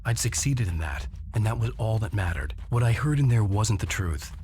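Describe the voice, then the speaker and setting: An actor playing a weary but determined writer in a video game, speaking in a plain, wry, terse way. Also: male voice, on the low side, lively intonation, normal speed, darkish tone, a little hiss or hum, close to an everyday voice. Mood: determined, reflective. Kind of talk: video game narration and dialogue. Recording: music behind the voice.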